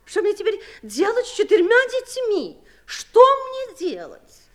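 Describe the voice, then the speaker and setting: A genteel, helpless wife in a vintage radio play. Her voice is high and extremely animated, plaintive and theatrical as it swoops up and down. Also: a little slow, exaggerated voice. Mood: distressed, pleading. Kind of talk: 1960s radio play acting.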